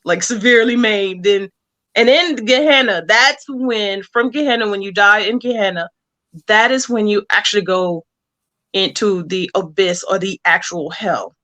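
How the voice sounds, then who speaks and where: A woman's voice: mid-range voice, moderate pace, bright, forceful tone. A woman speaking as an interview guest, casually explaining her creative work.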